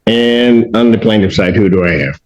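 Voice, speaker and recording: A man's voice: lowish voice, normal speed, a little mellow. A man presiding as judge in a courtroom hearing, bantering and teasing. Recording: dull courtroom video audio with faint hiss.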